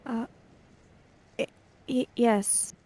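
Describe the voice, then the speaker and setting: A woman's voice: on the high side, steady tone, very slow, warm tone, breathy edge, exaggerated voice. A woman playing a polite female samurai in a tabletop game, formal, hesitant and puzzled. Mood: confused.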